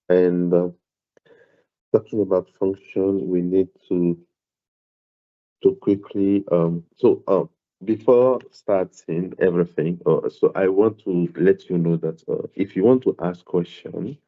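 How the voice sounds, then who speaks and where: A man's voice: deep voice, a little slow. A man lecturing a maths class over a video call, speaking English with a non-native accent and stumbling through lots of repeated words and fillers. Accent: non-South African, foreign to English. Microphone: computer mic on a video call. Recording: dull lo-fi online audio.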